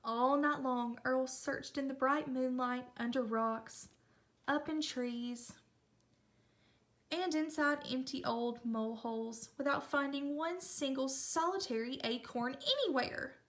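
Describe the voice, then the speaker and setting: High-voiced woman reading a children's story. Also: slow pace, a bit bright. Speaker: storybook reader. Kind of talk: expressive children's read-aloud narration.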